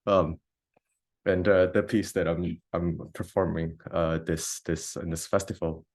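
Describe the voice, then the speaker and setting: A man's voice: low-pitched, little pitch movement, measured pace, darkish tone. A young man from Indonesia, a composer and grad student, introducing himself casually on a video call with many fillers and restarts. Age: young adult.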